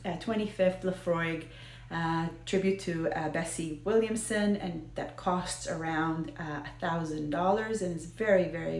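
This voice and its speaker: Echoey, low-voiced American Israeli woman. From Israel and the United States. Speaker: video host. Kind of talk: casual educational storytelling. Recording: roomy, echoing indoor sound.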